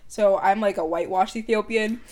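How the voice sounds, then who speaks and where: A young woman from Canada, a guest in a casual chat, talking in a relaxed and chatty way. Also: medium pitch. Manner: relaxed, chatty, quick to agree.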